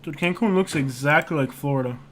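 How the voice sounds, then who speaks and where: Rambling man with a slightly high voice. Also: fairly quick, slight background noise. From United States. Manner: rambling, heavy on fillers like "like" and "you know". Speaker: livestream host. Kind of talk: casual live-stream chat while browsing street views.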